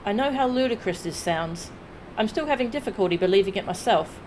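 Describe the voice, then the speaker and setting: Woman playing a measured, earnest young noblewoman. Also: mid-range voice, lively intonation, a little mellow, a little hiss or hum, close to an everyday voice, poor audio. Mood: grave, convinced. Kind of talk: dramatic read-aloud of video game dialogue.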